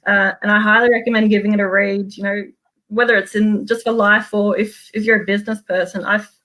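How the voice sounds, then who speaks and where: A woman with an Australian accent gives a casual book review to an online group. She sounds earnest and chatty, with an average-pitched voice. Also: level tone, fairly quick, bright, forceful tone, rough recording. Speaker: meetup guest speaker, small business owner. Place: home office, on a video call.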